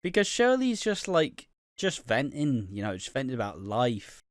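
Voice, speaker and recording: A man's voice: medium pitch, wildly swooping pitch, moderate pace, no room sound, clean studio sound. A man co-hosting a casual podcast about a TV soap, swearing freely and acting out characters' lines. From United Kingdom. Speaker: podcast co-host.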